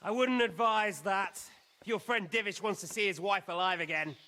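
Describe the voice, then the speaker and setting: Casting: defiant game villain, sharp, raised high voice, sardonic and sly. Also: male voice, normal speed, long reverb tail. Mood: smug, taunting. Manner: sardonic, taunting, projecting loudly. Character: defiant, sly male villain holding a castle. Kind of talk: cinematic video game acting.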